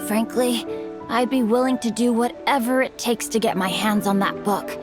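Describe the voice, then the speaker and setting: A woman's voice: fairly high pitch, moderate pace, close to an everyday voice. A woman voicing a polite, bookish young boy in a video game, speaking in formal, flowery phrases. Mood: earnest. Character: courteous, bookish young boy, eloquent martial-arts novel enthusiast. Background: music.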